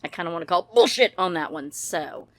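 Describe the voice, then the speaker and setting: A woman's voice: lowish voice, lively intonation, a little fast, a bit bright, no echo. A woman giving casual, blunt, opinionated commentary in a rambling way, with some mild swearing. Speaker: true-crime YouTube commentator.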